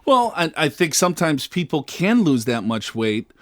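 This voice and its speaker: A man's voice: a little high, sing-song, moderate pace, no room sound, clean studio sound. A man with an American accent co-hosting a casual talk show, slangy and stopping and restarting mid-thought. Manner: informal, slangy, starts and stops mid-thought. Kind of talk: casual chat.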